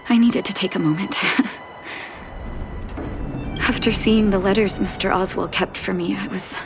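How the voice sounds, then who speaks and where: Woman voicing a gentle, composed game doctor, medium-pitched. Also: natural voice. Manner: soft, reflective, sighing. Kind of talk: video game story dialogue. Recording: background game music.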